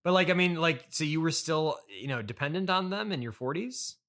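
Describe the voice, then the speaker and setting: A man's voice: a little high, expressive, a little fast, fairly bright tone. A man hosting an improvised comedy interview, stammering and placating as he guides the conversation. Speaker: podcast host and interviewer.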